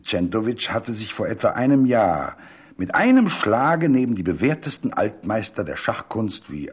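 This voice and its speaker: A man reading literary narration for a radio production in an articulate, measured and expressive storytelling manner. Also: on the low side, husky.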